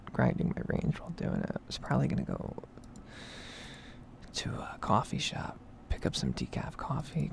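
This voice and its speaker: A woman speaking in a breathy whisper, rambling casually with some swearing in an ASMR gaming video. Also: rumbling low, a little mellow, slight background noise.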